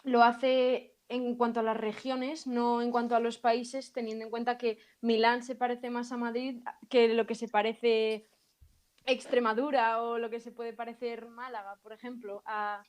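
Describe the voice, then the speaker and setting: Woman, interviewer, fairly high voice, flat delivery, long detailed questions. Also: unhurried.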